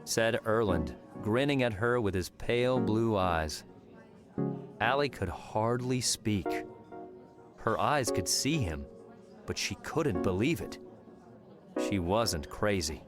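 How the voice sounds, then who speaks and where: Deep-voiced man narrating an audiobook. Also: lively intonation, slow pace, pauses often. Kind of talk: scripted story narration. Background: music.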